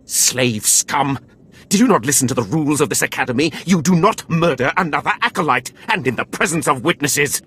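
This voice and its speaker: An actor playing a haughty, scornful Sith academy overseer in a video game, contemptuous and theatrical. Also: male voice, on the high side, lively intonation, fairly quick, a bit bright, breathy edge. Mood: indignant.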